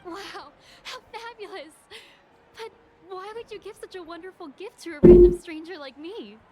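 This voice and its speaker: An actress playing a bubbly young singer in a video game who talks in a cheerful, gushing way. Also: female voice, very high-pitched, normal speed, music in the background.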